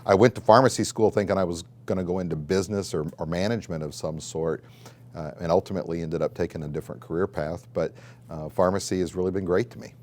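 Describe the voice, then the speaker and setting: A middle-aged man with an American accent, telling his story in a measured, conversational way as he answers interview questions. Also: sits low, steady tone, a little mellow, a little hiss or hum. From Southern Illinois, United States. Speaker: college dean and pharmacist.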